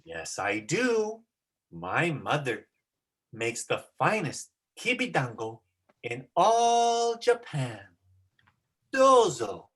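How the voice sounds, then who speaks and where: A performer playing an earnest, brave teenage boy hero in an amateur folktale reading, declaiming solemnly and deliberately. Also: mid-range voice, wildly swooping pitch, a bit bright, husky, heavily acted. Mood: bold.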